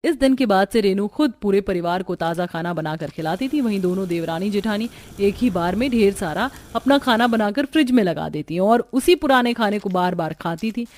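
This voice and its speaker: A woman's voice: medium pitch, expressive, normal speed, hardly any pauses, darkish tone. A woman reading scripted storytelling narration for a cartoon. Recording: music bed behind the voice. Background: background music.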